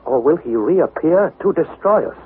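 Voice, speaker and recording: A man's voice: on the high side, expressive, natural voice. Earnest young explorer-narrator male actor, dramatic and widely inflected, scripted radio drama, tinny hissy broadcast. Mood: wondering. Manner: measured, dramatic storytelling.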